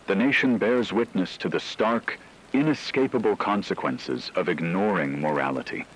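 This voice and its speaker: Male actor as grave radio drama newsman, low voice, solemn formal delivery, noisy rainy background. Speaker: radio news reporter. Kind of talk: scripted dramatic radio news narration.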